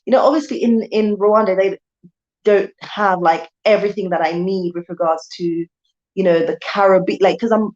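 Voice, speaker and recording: A woman's voice: medium pitch, steady tone, moderate pace, a little mellow, muffled recording. Chatty woman hosting a livestream. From Jamaica. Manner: chatty, repeats herself, addresses viewers as guys. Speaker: channel host. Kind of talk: casual livestream chat.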